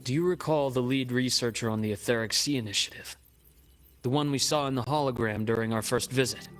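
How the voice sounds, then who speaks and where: Man doing a composed game investigator, analytical, measured delivery, mid-range voice, faint music behind. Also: mid-range voice, a bit bright, close to an everyday voice. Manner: measured, thoughtful, explanatory. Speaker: game character. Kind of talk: game cutscene voice acting.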